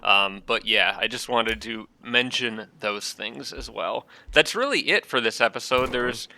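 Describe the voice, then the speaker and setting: A man's voice: medium pitch, measured pace, vocal fry, slight background noise. Stuffy-nosed man talking through a strategy game. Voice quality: slightly nasal from congestion. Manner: relaxed, thinking aloud, frequent ums. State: stuffed up from allergies. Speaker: gaming channel host. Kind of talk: casual strategy game commentary.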